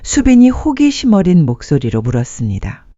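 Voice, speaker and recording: A woman's voice: on the low side, wildly swooping pitch, moderate pace, dark tone, no echo, highs cut off. A woman narrating a sentimental story from a script, as a storyteller addressing listeners.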